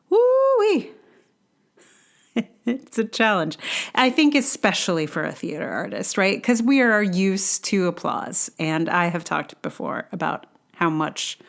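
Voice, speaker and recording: A middle-aged woman talks through her thoughts as a solo podcast host. Her voice is slightly low and very clean, and she takes her time. The delivery is informal and hesitant, wandering along with wry humor at her own expense. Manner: hesitant, rambling, self-deprecating humor. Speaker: podcast host, playwright and actor. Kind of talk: casual reflective solo podcast monologue.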